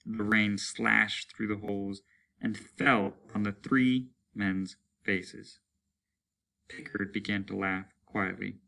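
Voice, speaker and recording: Bright-voiced man narrating a story. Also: on the low side, flat delivery, slow pace, gravelly, rough recording. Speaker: teacher reading a story aloud. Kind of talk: dramatic scripted narration.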